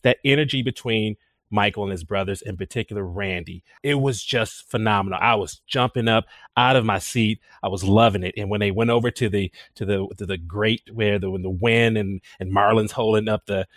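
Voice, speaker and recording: A man speaking as a guest in a casual roundtable, enthusiastic and halting, with many restarts. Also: on the low side, dry recording, studio quality. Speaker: panel guest.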